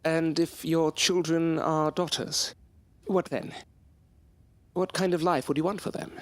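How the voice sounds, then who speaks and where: An actor playing a headstrong, bookish young gentleman of a past century in an audio drama, speaking formally with old-fashioned diction. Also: fairly high pitch, level tone, a little slow, no echo, natural voice. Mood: coolly polite. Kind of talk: period audio drama acting.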